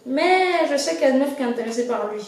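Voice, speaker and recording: A woman's voice: sits high, expressive, fast talker, darkish tone, slight background noise. Gossiping woman in an echoey room. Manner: gossipy, casual slang. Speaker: reality show contestant. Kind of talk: confessional to camera. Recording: echoey room, from far off, music behind.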